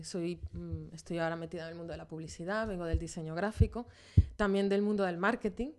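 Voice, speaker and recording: A woman's voice: on the low side, darkish tone, smooth. A woman from Venezuela, a podcast guest, chatting casually and hesitantly with many fillers. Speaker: podcast guest, graphic designer. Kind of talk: casual interview chat.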